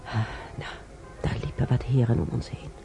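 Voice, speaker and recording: A woman's voice: normal speed, soft background music, lightly acted. An actress playing a chatty, wistful old woman who muses aloud and rambles in a radio drama. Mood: nostalgic. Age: older. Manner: rambling, musing aloud, repeating herself. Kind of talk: radio drama monologue and dialogue. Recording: dark, slightly noisy radio drama recording.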